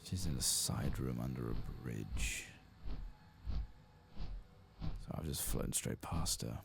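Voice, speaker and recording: Casting: man with extremely low, gravelly voice, soft-spoken ASMR game streamer, clear background noise. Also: takes it slowly. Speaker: streamer playing a fantasy game.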